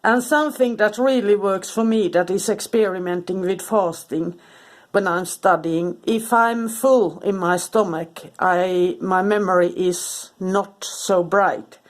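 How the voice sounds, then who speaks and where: A woman speaks casually and hesitantly, with frequent ums and restarted sentences. She has a cold and sounds stuffy and sniffly. Her pitch sits in an ordinary middle range. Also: unhurried. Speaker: spiritual content creator. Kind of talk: casual informal talk to camera.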